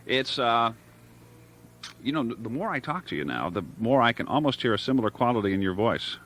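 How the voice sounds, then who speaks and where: A man's voice: mid-range voice, sing-song, a little fast, fairly bright tone. A man hosting a live call-in radio show, talking in a rambling way and searching for words as he goes. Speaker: radio talk show host.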